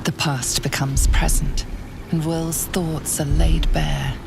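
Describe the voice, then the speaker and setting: A woman playing a grave, portentous storyteller, giving measured scripted narration for a fantasy game. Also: low voice, little pitch movement, gravelly, heavily acted. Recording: loud music behind voice.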